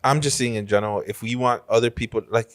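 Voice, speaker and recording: A man's voice: medium pitch, fairly even intonation, fast talker, a bit bright, dry recording. A man on a podcast panel, debating casually in slangy, conversational speech.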